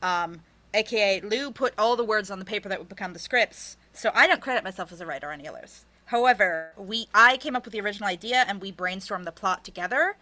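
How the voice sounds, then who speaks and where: A woman's voice: mid-range voice, quick pace, hardly any pauses, bright, forceful tone. An American woman chatting casually as an interview guest, wry and often interrupting herself as she tells stories. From United States. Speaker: interview guest, audio drama writer and producer. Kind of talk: casual chat.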